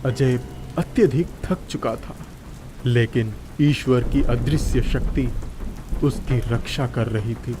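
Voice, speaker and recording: A man's voice: mid-range voice, slow pace, background noise. A man narrating a scripted children's moral story as a storyteller.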